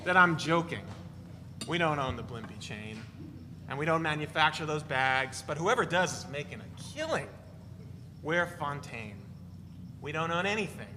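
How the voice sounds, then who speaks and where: Man playing a bright-voiced glib tall-tale teller, echoey hall. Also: a little high, animated, moderate pace, pauses often. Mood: teasing, insistent. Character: glib, teasing man spinning deadpan tall tales. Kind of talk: dramatic reading of a novel before an audience. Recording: noisy, reverberant hall recording.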